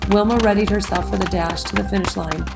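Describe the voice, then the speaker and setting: A woman reads a children's storybook aloud over clear background music. Her medium-pitched voice has a noticeable creak, and she phrases the narration expressively, with a sense of drama. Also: fairly even intonation, quick pace. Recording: music behind the voice.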